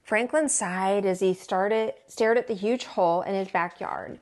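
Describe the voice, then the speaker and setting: A woman, a teacher, reading a children's storybook aloud in an expressive way and acting out the dialogue. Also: medium pitch, unhurried. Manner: animated, acts out the dialogue. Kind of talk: expressive children's picture-book read-aloud.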